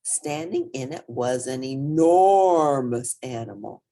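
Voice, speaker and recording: A woman reading a children's picture book aloud as an expressive storyteller, with dramatic emphasis. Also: a bass voice, slow pace.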